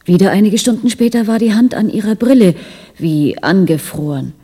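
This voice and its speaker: A woman acting an earnest, devoted female doctor in a German radio drama, speaking softly and huskily in a measured, intimate way. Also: medium pitch, lively intonation, slightly rough. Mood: grave, measured.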